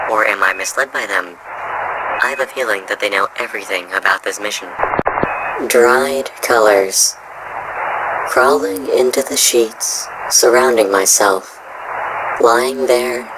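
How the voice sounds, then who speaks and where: Actor doing a sad lonely space drifter reading poetic game logs, slow n halting, mid pitch, lots of noise on it. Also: lightly acted. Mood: uneasy. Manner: slow, solemn, halting delivery in short broken phrases. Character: lonely, melancholic space drifter mourning a lost love. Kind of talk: poetic audio log monologue from a space game.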